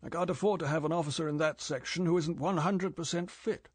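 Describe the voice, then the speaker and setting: A man's voice: fairly high pitch, fairly even intonation, a little fast, husky, no echo, pitched above the performer's own voice. A man reading an audiobook, voicing M, a stern older male spy chief who lectures in a clipped, scolding way. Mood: frosty final.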